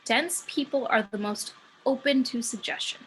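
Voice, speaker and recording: Woman acting brash female muse, sardonic, sharp bright tone, cocky, film script read at home, faint noise. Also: on the high side, normal speed, lightly acted, low-quality recording. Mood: boastful, wry. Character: sassy, cocky female muse working as a stripper.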